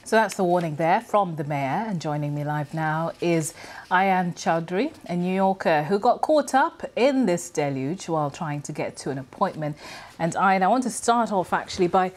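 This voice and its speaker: Woman, news anchor, lowish voice, polished, measured, live broadcast. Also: expressive. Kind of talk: live news presenting and interviewing.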